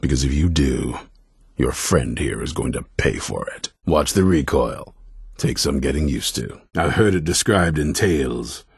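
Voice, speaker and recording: Very deep, gravelly warrior commander. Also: male voice, expressive, measured pace, no room sound, clean studio sound, exaggerated voice. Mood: stern, curt. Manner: blunt, commanding, grudging. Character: stern, gruff male vampire-hunter commander, suspicious and hard-bitten. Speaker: leader of a vampire-hunting order. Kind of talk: fantasy video game character dialogue.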